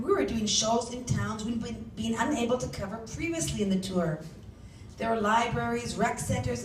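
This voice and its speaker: A woman reading a first-person story aloud as its author, with an animated, dramatic delivery. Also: fairly high pitch. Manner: animated, acts out shouted dialogue. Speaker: author reading from their own novel. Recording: roomy, distant mic, faint background noise.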